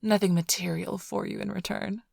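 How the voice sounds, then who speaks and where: A woman playing a flirtatious, smug vampire thief in an intimate ASMR roleplay, teasing and sly in a breathy, often whispered voice. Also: medium pitch, fairly even intonation, normal speed, darkish tone, no echo, clean studio sound. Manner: teasing, purring, theatrically sly. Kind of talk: ASMR roleplay, intimate close address to listener.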